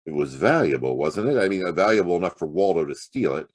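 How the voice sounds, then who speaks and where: A man's voice: deep voice, lively intonation, quick pace, no echo, clean studio sound. An actor playing a world-weary, wisecracking private detective in a noir audio drama, with wry, clipped tough-guy delivery. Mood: wry, probing. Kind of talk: noir radio-play acting and first-person narration.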